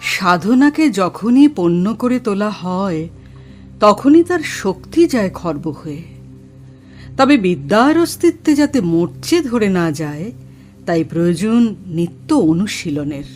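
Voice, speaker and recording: A woman playing a dignified, imposing tantric mystic and astrologer in an audio drama, speaking in solemn, formal, measured pronouncements. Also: mid-range voice, very animated, darkish tone, faint music behind. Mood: solemn, self-assured.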